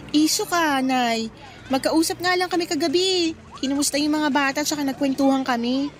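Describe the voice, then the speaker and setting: A woman's voice: high voice, normal speed, lightly acted. A woman acting in a Filipino radio drama as a trusting, loyal wife in her thirties who respectfully protests to her mother. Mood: cheerful.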